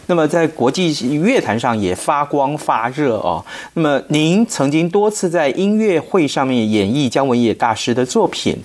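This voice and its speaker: Lively-pitched Taiwanese Mandarin male interviewer. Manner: polite, measured, formal. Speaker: radio interview host. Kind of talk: prepared interview questions.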